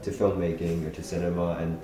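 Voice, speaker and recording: Young man, from Hawaii, very deep voice, halting, thoughtful, heavy room echo. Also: flat delivery, mellow. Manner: thoughtful, halting, many ums and restarts. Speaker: filmmaker interviewee. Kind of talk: casual interview talk. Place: festival venue indoors. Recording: very echoey room.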